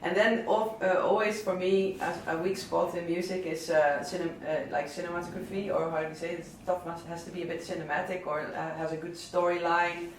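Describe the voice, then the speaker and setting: A man with a southern Dutch accent talking casually about favourite records, halting often with fillers and restarts. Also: high-pitched, little pitch movement, a little hiss or hum. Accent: Dutch, southern Brabant. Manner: hesitant, many fillers and restarts. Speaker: DJ and record selector. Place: indoor listening session. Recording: echoey room, mic at a distance.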